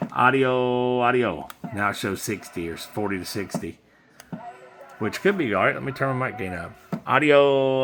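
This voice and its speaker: A man giving a casual, hands-on product demo, talking informally and in a rambling way as a seller. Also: fairly low pitch. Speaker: seller demonstrating used radio gear. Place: indoors at a desk with radio equipment. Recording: faint background noise.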